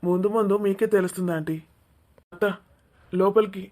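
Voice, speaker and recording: Young male family friend for cartoon drama, female performer: polite, careful, placating, medium female-range pitch. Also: steady tone, normal speed, darkish tone, natural voice. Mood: reasoning. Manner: tactful, placating.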